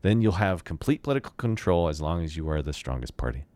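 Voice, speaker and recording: A man's voice: deep voice, fast, dry recording. A man co-hosting a political commentary show, talking emphatically. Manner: emphatic, lively. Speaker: co-host and editor.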